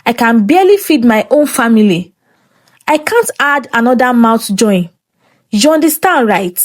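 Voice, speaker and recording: A woman's voice: fairly high pitch, animated, moderate pace. Curt, selfish Nigerian older sister, voiced by a woman. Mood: uneasy, dismissive.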